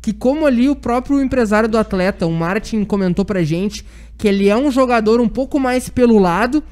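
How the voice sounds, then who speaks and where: High-voiced southern Brazilian man hosting. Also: brisk, a little mellow. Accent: southern Brazilian, gaúcho. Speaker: radio sports host. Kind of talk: live sports radio chat.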